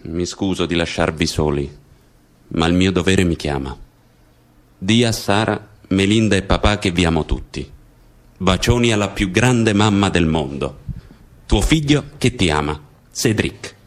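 A man's voice: low voice, measured pace. An actor playing an earnest young guardsman, solemnly reading out a farewell letter in a stage drama. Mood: solemn, aggrieved.

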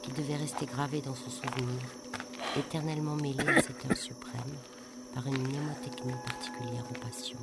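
A man's voice: mid-range voice, level tone, brisk, loud music behind the voice. A man reading scripted literary narration.

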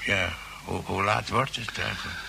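A man's voice: sits low, fairly even intonation, moderate pace, gravelly, bright, forceful tone, heavily acted. A man playing a tipsy, sly notary in an old Dutch radio comedy, muddled and repeating himself, with a Dutch accent. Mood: muddled, insistent. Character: tipsy notary, sly rival suitor, amiably stubborn. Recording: old hissy radio recording.

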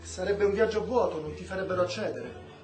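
Casting: male actor for impassioned, ironic Cuban man, radio drama, high voice, lecturing delivery, roomy echo. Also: fast talker, faint music behind, natural voice. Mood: measured. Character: Miguel, impassioned Cuban man, sardonic and politically outspoken. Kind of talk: Italian theatrical radio drama dialogue.

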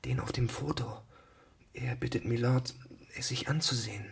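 A man's voice: medium pitch, fairly even intonation, moderate pace, creaky, slightly rough, heavily acted. An actor in a German radio play playing a deferential young hotel page boy, hesitant, flustered and formal. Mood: nervous, urgent.

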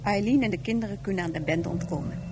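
A woman's voice: medium pitch, fast. A woman giving a scripted narration for a science fiction radio play.

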